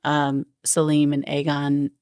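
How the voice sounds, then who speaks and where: A woman's voice: low voice, completely flat delivery, takes it slowly, a little mellow. A woman co-hosting a podcast in casual chat, talkative and playful, laughing often between her points. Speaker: podcast co-host. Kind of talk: casual chat about a TV movie.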